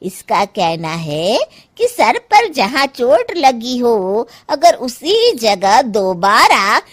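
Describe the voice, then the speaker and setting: A woman's voice: fairly high pitch, very animated, drawn-out words, very noisy, full character voice. A woman voicing a harried older mother-in-law in a cartoon story, speaking in a scolding, exasperated, homely way. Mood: scheming. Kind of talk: Hindi cartoon story voice acting.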